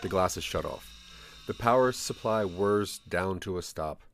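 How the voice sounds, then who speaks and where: A man's voice: low-pitched, measured pace, slight background noise. A man narrating a scripted audio drama in the second person.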